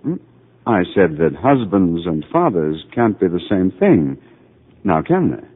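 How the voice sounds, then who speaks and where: A calm middle-aged psychiatrist in a 1940s radio drama, with a low, husky voice that rises and falls in a lively way as he patiently explains. He stays gentle and reassuring throughout. The sound is a tinny old radio broadcast. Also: measured pace, heavily acted. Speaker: narrator and doctor.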